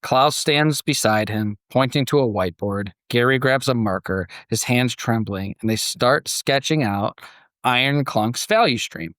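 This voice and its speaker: A man's voice: medium pitch, a little slow, fairly bright tone, dry recording, studio quality. A man hosting a podcast, moving between conversation and scripted story narration. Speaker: podcast host.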